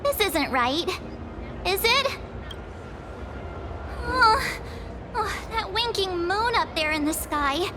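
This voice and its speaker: A woman voicing an excitable, cheerful young girl in an anime-style game, exclaiming and chatting brightly. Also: extremely high pitch, takes it slowly, pauses often, background noise, full character voice. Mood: startled.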